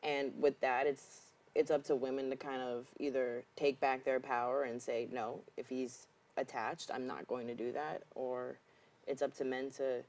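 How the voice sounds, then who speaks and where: Woman, very low voice, talk-show guest, frank, emphatic, casual discussion. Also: level tone, moderate pace, darkish tone.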